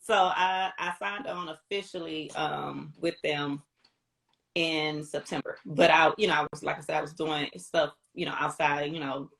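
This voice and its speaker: A woman's voice: low-pitched, fairly even intonation, normal speed, gravelly, a bit bright. A middle-aged American woman from Texas talking casually as an interview guest, retelling her story informally with quoted bits of dialogue. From Houston, Texas, United States. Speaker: interview guest, radio promotions representative.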